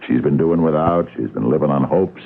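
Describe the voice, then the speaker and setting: A muffled radio broadcast carries a hard-nosed police captain. His voice is extremely low and husky. He talks bluntly, with sardonic, accusing bite. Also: a little fast, full character voice. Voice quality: gravelly. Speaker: radio drama actor. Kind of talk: radio mystery drama acting.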